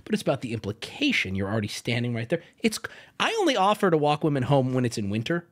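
A man streaming and reacting to a video, with crude, excitable joking commentary. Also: medium pitch, fast talker. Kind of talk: casual live-stream commentary.

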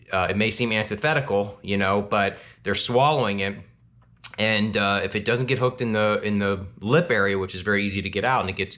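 A man in his thirties hosting a fishing channel, chatting casually to camera from a small home office, with lots of ums and restarts. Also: on the low side. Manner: relaxed, rambling, with frequent ums and restarts. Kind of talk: casual gear talk to camera.

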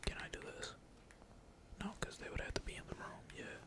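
Whispered ASMR gamer with keyboard clicks. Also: a little fast. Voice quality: breathy unvoiced whisper. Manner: slangy, swearing. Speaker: gaming video creator. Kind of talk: whispered ASMR gaming commentary, casual chat. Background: computer keyboard typing.

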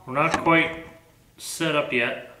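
A man's voice: a little high, fairly even intonation, slow pace. A middle-aged man working as a paranormal investigator, calling out to spirits and chatting casually in a small room. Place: small dark room indoors. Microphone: camera mic across the room. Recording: echoey room, noticeable background noise.

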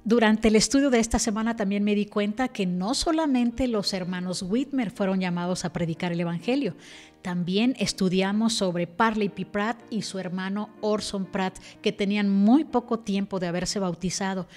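A woman's voice: mid-range voice, moderate pace, a little mellow. An older woman speaking in an earnest, reflective way as she presents a devotional scripture lesson to camera. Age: older, about 60. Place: indoor room, seated at a desk. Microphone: desk microphone. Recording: music playing behind the voice. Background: background music.

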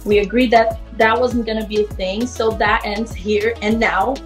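A woman's voice: fairly high pitch, fairly even intonation, normal speed, soft background music. A young woman leading a mock audition in an upbeat, bossy, mock-formal way over a video call.

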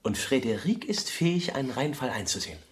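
A man's voice: mid-range voice, sing-song, fairly quick, lightly acted. A man plays a young architect in a radio drama, idealistic and trusting. His voice sits in a middle register. He talks with earnest insistence, doubling back on words as he makes his case. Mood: excited, hopeful. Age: young adult. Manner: earnest, insistent, repeats himself. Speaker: actor. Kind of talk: German radio play acting.

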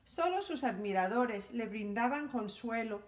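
A woman reading a children's picture book aloud for library storytime, in an animated, sing-song storytelling manner. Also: fairly high pitch, a little slow, a little hiss or hum.

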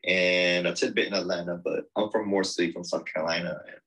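A man with a very low voice talks about his music over a video call. He is eager and loose, full of fillers and restarts, heard through a laptop mic in a small home studio. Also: fairly even intonation, a little fast, fairly bright tone, breathy edge, poor audio. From United States. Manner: enthusiastic, rambling with many fillers. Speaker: techno DJ and producer. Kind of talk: casual video call interview. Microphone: laptop or webcam on video call.

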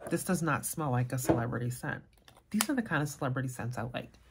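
A soft-spoken woman chatting casually as a vlogger, murmuring her thoughts quietly as she talks. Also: extremely low pitch, lively intonation, fast talker, heavy background noise. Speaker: fragrance vlogger. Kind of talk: casual chat.

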